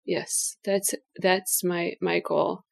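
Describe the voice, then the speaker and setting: A woman's voice: on the low side, little pitch movement, takes it slowly, vocal fry. A woman from Hungary with a Hungarian accent, a guest artist thinking aloud in a casual interview with frequent restarts.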